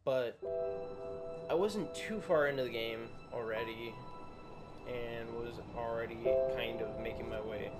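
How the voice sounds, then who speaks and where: A young man with a shrill voice commentates his gameplay, rambling through fillers and half-finished phrases. He talks into a USB microphone. Loud game music plays behind him the whole time. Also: measured pace. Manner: rambling, full of fillers and restarts. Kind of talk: casual let's-play chat.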